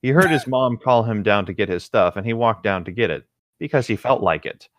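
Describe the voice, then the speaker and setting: A man's voice: on the low side, lively intonation, brisk, a bit bright, no room sound. A young man reading a story aloud and chatting casually as a co-host. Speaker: co-host of a comedic creepypasta reading.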